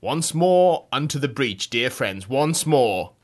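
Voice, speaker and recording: A man's voice: lowish voice, a little slow, creaky, bright, forceful tone, full character voice. A man voicing a hypnotised man who believes he is a king and delivers rousing, bellowing battle speeches.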